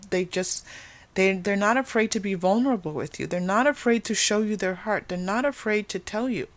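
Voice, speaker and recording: A woman hosting an unscripted spiritual tarot reading, speaking earnestly and emphatically to viewers, often repeating and rephrasing her phrases. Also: medium pitch. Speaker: tarot reader hosting a channel.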